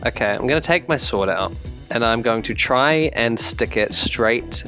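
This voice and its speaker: A man's voice: medium pitch, expressive, normal speed, bright, forceful tone. A man with a New Zealand accent chatting casually as a tabletop game player, hesitant and thinking aloud. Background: faint music.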